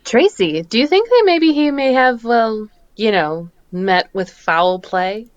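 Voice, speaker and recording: A woman's voice: on the high side, big pitch swings, slow pace, no echo, muffled recording. A woman playing a detective's earnest young girlfriend in a radio play. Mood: earnest, helpful. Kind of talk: radio play table read.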